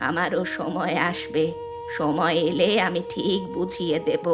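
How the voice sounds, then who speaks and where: A woman playing a frail, slow-speaking old mother-in-law. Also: lowish voice, steady tone, over music, pitched below the performer's own voice, lightly acted. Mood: hurt, reproachful. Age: older. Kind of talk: cartoon folk-tale acting.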